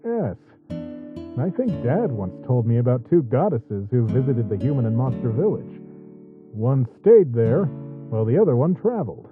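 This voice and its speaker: A man's voice: mid-range voice, very animated, moderate pace, narrow, tinny audio, close to an everyday voice. A man voicing an easygoing, joke-loving skeleton sentry in a comic dub, casual and musing. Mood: relaxed, sincere. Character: easygoing skeleton sentry who loves jokes, troubled by nightmares. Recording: music behind the voice.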